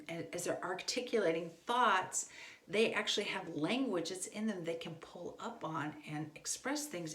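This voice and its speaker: A middle-aged woman gives an informal instructional talk, speaking conversationally and leaning on repeated words for emphasis. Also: mid-range voice. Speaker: homeschool instructor. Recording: echoey, roomy sound.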